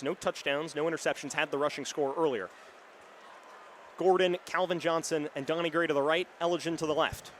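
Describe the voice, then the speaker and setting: A young man doing live radio play-by-play commentary of an American football game. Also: a little high. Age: young adult. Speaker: radio play-by-play sports announcer. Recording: live stadium booth, clear background noise. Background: stadium crowd and outdoor ambience.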